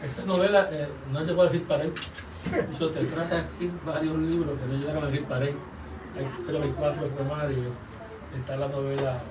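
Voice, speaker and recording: An older man from Puerto Rico with a Puerto Rican accent, recollecting as an interview guest in a halting, measured way. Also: on the high side. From Carolina, Puerto Rico. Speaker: interview guest, novelist and poet. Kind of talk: conversational recollection. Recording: roomy, noisy, distant microphone.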